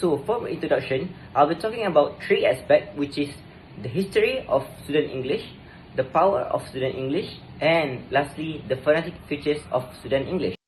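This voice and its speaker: A man's voice: a little high, brisk, slight background noise, poor audio. A young man presenting a student assignment, reading formally with a few stumbles and fillers. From Malaysia. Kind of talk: scripted presentation.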